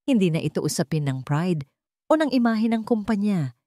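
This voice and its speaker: A woman's voice: medium pitch, very animated, normal speed, mellow, no room sound, studio quality. A woman narrating a scripted dramatic story.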